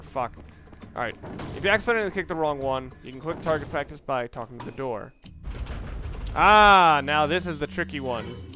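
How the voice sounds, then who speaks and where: A man giving casual, off-the-cuff video game commentary, rambling and often rephrasing himself mid-sentence. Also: fairly high pitch. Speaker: let's play commentator. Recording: loud game music and gunfire behind. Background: video game music and gunshots.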